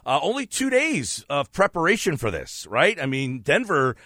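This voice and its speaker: A man's voice: fairly high pitch, sing-song, normal speed, sharp tone, dry recording, studio quality. A man hosting a sports podcast, asking questions in a conversational interviewing style.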